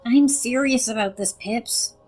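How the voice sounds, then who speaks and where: A woman's voice: on the high side, a little slow, darkish tone, faint music behind. A woman voicing a feisty, indignant young fairy in a dramatic storybook reading, emphatic and defiant. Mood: insistent.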